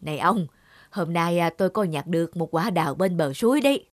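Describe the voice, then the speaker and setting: A woman voicing a kindly, caring elderly peasant woman in an animated folk tale, with expressive, wondering exclamations. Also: on the low side, fairly quick, dry recording, clean studio sound. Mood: eager.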